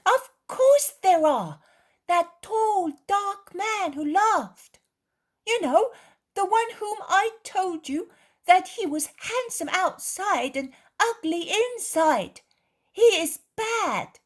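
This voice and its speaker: A woman's voice: very high-pitched, expressive, pitched above the performer's own voice, full character voice. A woman voicing an outspoken, stubbornly honest little boy in a story, earnest and emphatic. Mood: insistent. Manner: slow, earnest, emphatic childish delivery.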